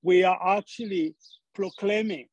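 A man's voice: high-pitched, measured pace, breathy edge, dry recording, highs cut off. A man from Zambia preaching a sermon, often repeating words as he searches for the next phrase.

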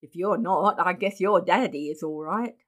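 A woman's voice: lowish voice, normal speed, a bit bright. A woman voicing a casual, condescending male shop clerk in an audiobook, with an offhand manner. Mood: hesitant. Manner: offhand, patronising. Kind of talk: audiobook character acting.

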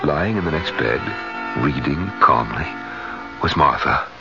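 An actor playing an anxious, jealous middle-aged husband in a 1970s radio drama, with dramatic, intense delivery. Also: male voice, very deep voice, lively intonation, a little slow, vocal fry, dull audio, music in the background, full character voice. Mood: reflective narration. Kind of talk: 1970s radio drama acting and first-person narration. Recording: band-limited 1970s radio recording.

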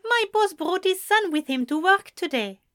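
A woman's voice: very high-pitched, animated, moderate pace, fairly bright tone, no echo, studio quality, pitched above the performer's own voice. A woman reading a cheerful, breezy young female neighbour.

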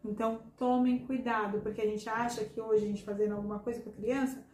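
Hoarse woman podcaster, kinda high voice, talks straight to listeners, echoey room w faint noise behind. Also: level tone, fast talker, a little mellow. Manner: earnest, addresses listeners directly. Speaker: podcast host and hypnotherapist. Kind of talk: casual podcast conversation.

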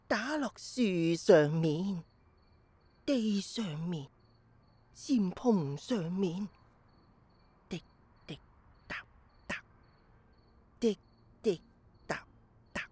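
A woman's voice: medium pitch, dark tone, dry recording. An actress playing an elderly grandmother in a radio drama, telling stories to a small child in Hong Kong Cantonese with nostalgic, playful fondness. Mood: hushed wonder. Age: older. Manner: slow, wistful storytelling to a small child.

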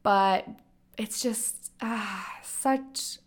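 A young woman in her late teens with a raspy, hoarse voice from a cold, hosting a casual solo podcast in a loose, chatty way. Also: medium pitch, drawn-out words. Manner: chatty, loose, full of "like" and "kinda". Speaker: podcast host.

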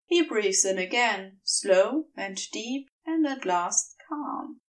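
A woman reading scripted fiction as a narrator, in a gentle, measured storytelling manner. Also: mid-range voice, animated, recorded from a distance, poor audio. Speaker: podfic narrator.

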